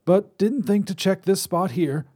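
A man's voice: high voice, unhurried, warm tone, breathy edge, pitched above the performer's own voice. A man voicing an old male wizard with a reedy voice who talks politely and apologetically. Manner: polite, contrite, eager to please. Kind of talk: storybook reading.

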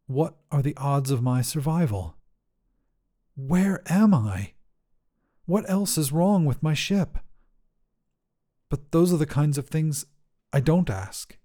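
A man narrating first-person fiction as a desperate, oxygen-starved astronaut, in deliberate, intense, measured phrases. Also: fairly high pitch, lively intonation, warm tone. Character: desperate oxygen-starved astronaut telling his own story. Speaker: story narrator.

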